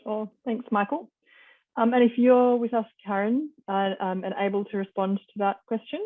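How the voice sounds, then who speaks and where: A woman's voice: mid-range voice, fairly even intonation, moderate pace. A woman moderating an online forum over a muffled, narrow-band video call.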